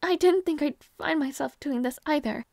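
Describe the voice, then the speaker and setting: A woman voicing a shy, flustered young princess who talks softly and hesitantly, often in a whisper and with stammers. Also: sits high, normal speed, vocal fry, no room sound, clean studio sound. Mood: shy, nervous. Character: shy, flustered young princess waking beside her knight. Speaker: audio roleplay performer. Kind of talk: intimate audio roleplay.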